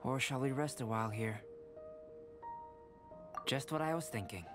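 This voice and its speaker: A man playing a calm, reserved young alchemist in a video game, speaking in a measured, formal and precise way. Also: mid-range voice, close to an everyday voice. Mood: calm, composed. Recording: music playing behind the voice.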